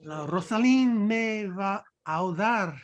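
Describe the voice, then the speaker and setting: A woman's voice: mid-range voice, expressive, slow, highs cut off. A woman playing a cheeky, slangy, mocking manservant in a play reading over a video call. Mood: exasperated. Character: Chucho, cheeky bilingual servant man, slangy and mocking. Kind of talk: table read of a play.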